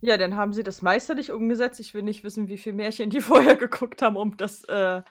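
A woman's voice: medium pitch, quick pace. A woman co-hosting a podcast in a casual, conversational style. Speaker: podcast co-host. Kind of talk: casual chat.

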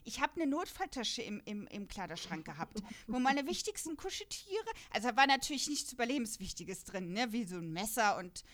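A woman chatting casually as a podcast co-host, animated and rambling in manner. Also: a little high, a little fast, hardly any pauses, slight background noise. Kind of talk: casual chat.

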